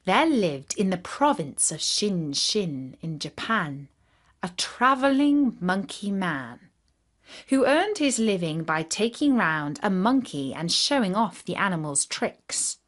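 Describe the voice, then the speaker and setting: A woman narrating a scripted fairy tale for children in an expressive, deliberate storytelling manner. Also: medium pitch.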